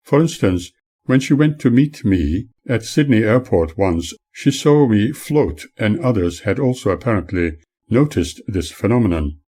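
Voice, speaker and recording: A man reading his own memoir aloud as scripted narration, in a formal, measured manner. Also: lowish voice, animated, dark tone.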